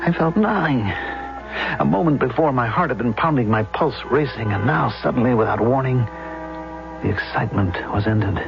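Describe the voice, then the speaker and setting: An actor in a vintage radio drama playing a boastful, unstable construction engineer, speaking and narrating with theatrical intensity. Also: male voice, medium pitch, lively intonation, normal speed, vocal fry. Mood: brooding, intense. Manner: theatrical, emphatic, dramatic swings. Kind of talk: 1970s American radio drama acting with first-person narration. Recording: dull old radio recording, loud music.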